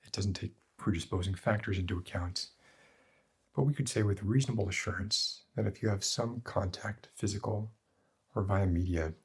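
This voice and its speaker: A man playing a morbid, cynical doctor in an ASMR roleplay, lecturing in a pedantic, deliberate and bleak way with a husky voice. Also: a little mellow. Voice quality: husky, breathy, low. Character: morbid, cynical male doctor treating depression with despair.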